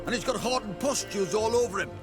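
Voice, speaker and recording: A jeering, menacing peasant ruffian from a fantasy game, played by a man. His voice is high for a man, coarse and taunting. Music is clearly heard behind it. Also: quick pace, natural voice. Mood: hostile, aggrieved. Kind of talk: video game dialogue.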